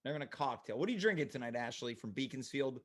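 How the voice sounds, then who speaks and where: A man with a slightly rough voice hosting a call-in show, bantering in a playful, mock-earnest way. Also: mid-range voice, expressive, fast. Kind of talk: comedic phone-in banter.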